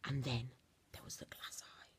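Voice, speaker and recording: A woman reading a children's storybook aloud like a teacher, expressively, with theatrical emphasis and deliberate dramatic pauses. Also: in a whisper.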